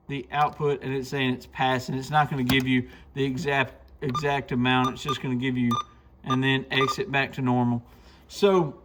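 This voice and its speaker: A man with a slightly high voice walks through a product in casual, off-the-cuff language. He explains things step by step like a shop host showing gear to viewers. Clear background noise sits behind his voice. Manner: informal, unscripted, explanatory. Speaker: radio shop host demonstrating a CB radio. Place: radio shop.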